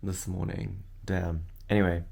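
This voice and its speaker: A young man with a raspy morning voice, chatting casually and rambling in a home vlog. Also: deep voice, little pitch movement, measured pace, a little mellow. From Australia. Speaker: vlogger. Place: bathroom at home.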